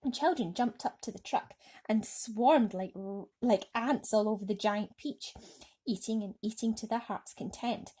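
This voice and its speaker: A woman's voice: on the high side, measured pace, dark tone, slightly rough. A woman narrating a children's bedtime story expressively. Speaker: storybook reader.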